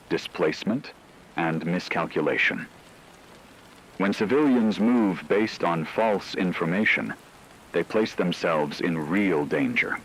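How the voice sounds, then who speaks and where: A man playing a grave radio anchor, delivering a scripted emergency war broadcast in measured, solemn, formal tones. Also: sits low, fairly bright tone, husky, full character voice, rough recording.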